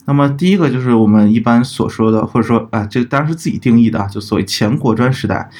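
Man explaining haltingly, mid-pitched. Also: darkish tone. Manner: halting, self-correcting, methodical explainer. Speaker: podcast co-host. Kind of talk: casual explanatory talk.

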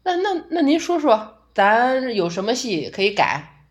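A woman playing a conservative male theater director in an audio drama, flustered, interrupting and quick to shoot ideas down. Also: fairly high pitch, very animated. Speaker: director character.

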